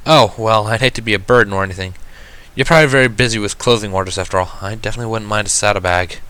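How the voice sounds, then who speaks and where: A man's voice: lowish voice, rapid-fire, pushed and bright, clear, resonant tone, no echo. A man playing a friendly, modest male pony with a courteous, easygoing manner.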